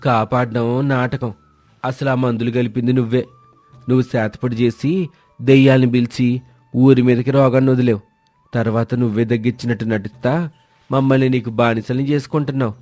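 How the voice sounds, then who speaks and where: Man playing a rustic landlord's henchman, dark-toned. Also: mid-range voice, flat delivery, normal speed, dry recording, faint music behind, lightly acted. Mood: accusing. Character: servile, accusing henchman of the village landlord.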